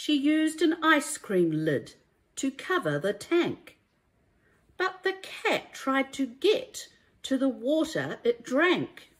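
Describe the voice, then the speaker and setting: A woman reads a children's storybook aloud like a primary teacher, in a deliberate, emphatic and expressive way. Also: sits high. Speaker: primary school teacher reading a story to young pupils.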